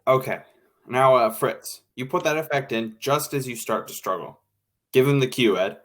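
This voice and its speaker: An impatient, bossy producer of a radio show, played by a man. The voice is medium in pitch and gives orders in a directing manner. It is acted in old-time 1940s radio style. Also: normal speed, natural voice. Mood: instructing.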